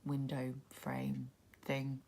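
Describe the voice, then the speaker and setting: Low gravelly woman with a cold. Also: extremely slow, slight background noise. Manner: relaxed, musing, thinking aloud. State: congested with a cold, sniffly, coughing. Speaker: tarot deck reviewer. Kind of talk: casual walkthrough commentary.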